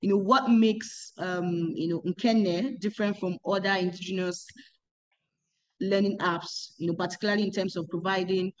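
A woman's voice: mid-range voice, steady tone, normal speed, lo-fi sound. Hesitant woman host, lots of ums. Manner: warm hesitant questions, many "um"s and repeated words. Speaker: podcast host. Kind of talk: hosting an interview podcast.

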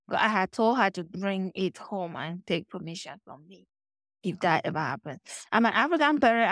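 A middle-aged woman from Cameroon with a Cameroonian accent, talking as an interview guest and telling stories with animated acted-out dialogue. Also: mid-range voice, dry recording, studio quality. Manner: animated storytelling, acts out quoted dialogue. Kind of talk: conversational interview.

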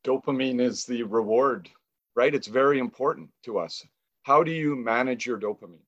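A man speaks as an interviewer in a conversational style. His voice sits at an average pitch. The recording is a little dull and lo-fi. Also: moderate pace.

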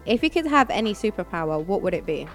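A woman hosting a casual interview, informal and slangy, with animated reactions. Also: medium pitch, fast, soft background music. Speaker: interviewer and show host.

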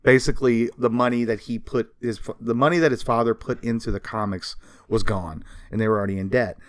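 A man with a medium-pitched voice hosts a podcast, talking through some history in loose, everyday language. He explains things step by step in a conversational way. The sound is close and dry, with no room to it. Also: steady tone, brisk, smooth, no echo. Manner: explanatory, colloquial. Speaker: podcast host. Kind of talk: casual chat, telling comics history.

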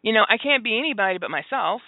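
A woman in her forties hosting a phone-in radio talk show, talking openly about her own life with many pauses, fillers and laughs. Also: fairly high pitch, animated, dry recording. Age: 40s. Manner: open and confessional, halting with fillers, laughs often. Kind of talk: candid phone-in radio chat. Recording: dry, tinny phone-like sound.

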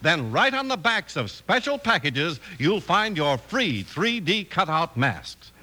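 Lively American radio announcer, a man. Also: a little high, unhurried. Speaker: announcer and narrator.

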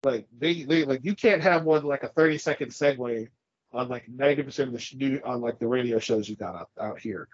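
A man's voice: medium pitch, brisk, no echo, muffled recording. A man from California co-hosting a podcast, congested, rambling and swearing casually as he chats. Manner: profane, rambling, laughs often. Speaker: podcast co-host. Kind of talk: casual chat.

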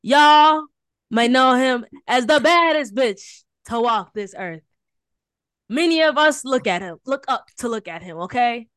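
A woman's voice: on the high side, expressive, measured pace, a bit bright, clean tone, no echo, studio quality. A young Southern woman from Houston, co-hosting a casual podcast in a playful, teasing way. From Houston, Texas. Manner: playful, teasing, hypes up her co-host. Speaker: podcast co-host.

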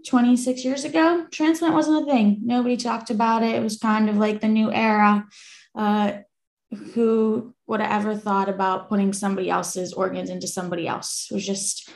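A woman in her twenties from Croatia, a podcast guest telling her story in a steady, matter-of-fact way. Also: mid-range voice, echoey room. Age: 20s. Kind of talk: casual interview talk.

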